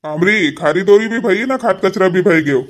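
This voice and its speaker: A man's voice: sits high, expressive, normal speed. A man voicing a homely village husband and headman in a cartoon comedy, blunt in manner, with a Powari accent. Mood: loud, encouraging. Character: impatient but agreeable village husband and headman.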